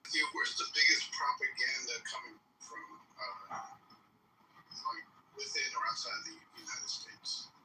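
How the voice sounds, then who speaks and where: Man, very animated pitch, sharp voice, casual chat, distant roomy audio, faint noise. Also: a little slow. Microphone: online voice chat.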